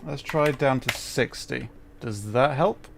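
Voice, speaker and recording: A man's voice: mid-range voice, measured pace, creaky, background noise. A man hosting a casual gaming livestream, chatting in a flustered, apologetic and self-deprecating way. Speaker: livestream host playing a video game. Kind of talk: casual livestream chat while troubleshooting.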